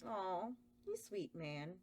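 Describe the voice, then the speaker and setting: Sweet cooing doll lady, a man in falsetto. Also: huge pitch swings, slow pace, full character voice.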